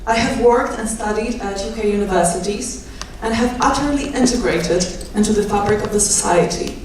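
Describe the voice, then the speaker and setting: A young woman with a Polish accent giving a measured rally speech with wry, self-deprecating asides. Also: mid-range voice, a little mellow, far from the mic, a little hiss or hum. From Poland. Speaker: guest speaker, doctoral student. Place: large indoor hall at a rally. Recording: echoey hall, mic from afar.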